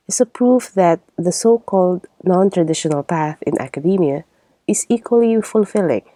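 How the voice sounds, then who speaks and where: A woman in her thirties hosting a podcast, delivering a reflective scripted monologue in a measured, articulate way. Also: fairly low pitch, mellow, no room sound. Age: 30s. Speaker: podcast host.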